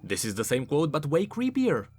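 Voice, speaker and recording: A man hosting a game lore video essay, explaining in an expressive, informal and emphatic way, scripted but with casual asides. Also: on the high side, moderate pace, no echo. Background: background music.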